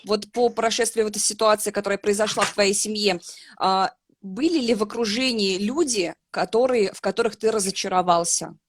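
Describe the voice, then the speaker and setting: A woman's voice: mid-range voice, fairly quick, no echo, studio quality. A woman hosting a casual online interview, asking questions in a chatty, friendly way. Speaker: interview host.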